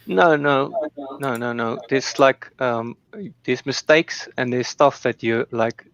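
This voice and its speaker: A man whose first language is Afrikaans, speaking English haltingly in a casual debate on a call. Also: mid-range voice, gravelly, fairly bright tone, clear, resonant tone, no room sound, highs cut off. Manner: hesitant, halting, laughs nervously.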